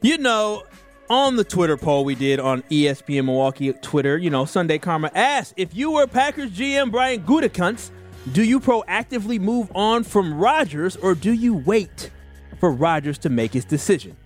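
A man's voice: high-pitched, big pitch swings, normal speed, faint music behind. A man co-hosting a sports radio show, talking casually and giving firm opinions. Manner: emphatic, opinionated. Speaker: radio co-host.

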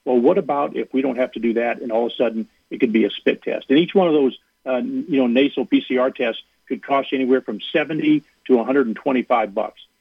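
A man's voice: medium pitch, fairly quick, vocal fry, few pauses, husky, dry recording. A man who is a university athletic director, speaking as a guest in a radio interview, earnest and given to repeating words as he starts a thought. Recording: tinny phone line.

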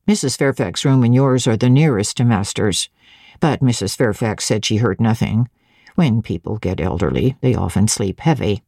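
A woman voicing a phlegmatic, guarded servant in an audiobook, composed and deliberate, probing with feigned indifference. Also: a bass voice, expressive, gravelly, warm tone, no room sound, clean studio sound, voice pushed down, heavily acted. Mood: guarded, significant.

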